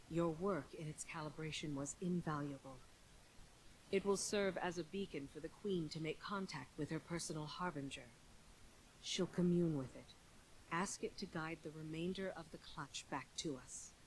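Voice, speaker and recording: An actress playing a dutiful royal lieutenant, formal, composed and direct, giving a video game mission briefing. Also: female voice, sits low, slight background noise, close to an everyday voice, poor audio.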